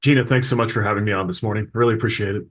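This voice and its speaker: Steady-voiced man telling his story. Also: lowish voice, racing. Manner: conversational, steady, occasional fillers. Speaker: podcast guest, paramedic. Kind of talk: casual interview storytelling.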